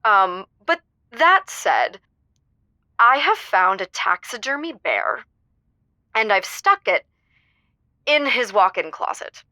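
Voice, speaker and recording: A woman's voice: on the high side, sing-song, takes it slowly, pauses often, bright, forceful tone, dry recording, exaggerated voice. A woman playing a playful, mischievous wife telling stories as a cheerful caller on a comedy radio show. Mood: gleeful, mischievous. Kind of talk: improvised comedy storytelling.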